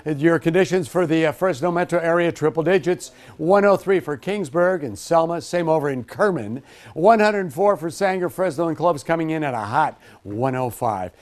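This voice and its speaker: A man's voice: on the high side, animated, normal speed. An American man presenting a live morning TV weather forecast in a conversational, informal manner.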